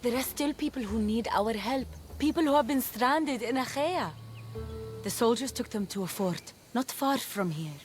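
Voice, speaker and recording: An actress playing a compassionate, determined young woman fighter in a video game, earnest and purposeful over soft dramatic music. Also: on the high side, expressive, normal speed, natural voice. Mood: earnest concern.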